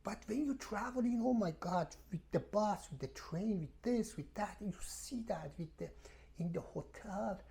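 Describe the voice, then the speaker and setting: Female travel vlogger, non-native English, mellow creaky voice, rambling and exasperated to camera. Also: mid-range voice, moderate pace. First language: not English. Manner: emphatic, repetitive, exasperated storytelling. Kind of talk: casual rambling rant to camera.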